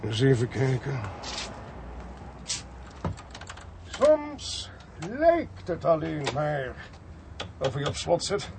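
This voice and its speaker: An actor playing a shrewd, commanding male investigator in a radio play, brisk and dryly ironic. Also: a little high, sing-song, gravelly, background noise, heavily acted. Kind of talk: Dutch radio play acting.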